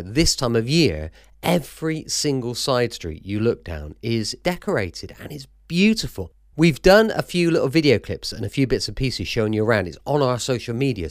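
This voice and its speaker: Lively man hosting, dry close sound. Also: mid-range voice, dry recording. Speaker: radio show host. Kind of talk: casual chatty show introduction.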